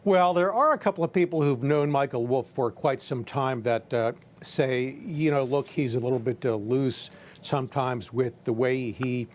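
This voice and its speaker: A man's voice: mid-range voice, moderate pace, a little hiss or hum. A man giving political commentary as a correspondent on a news panel, emphatic and pointed in his phrasing.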